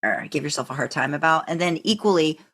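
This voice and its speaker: A woman's voice: low voice, fast, a bit bright. A woman hosting a podcast in casual conversation, chatty and informal in the way she talks. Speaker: podcast host and podficcer.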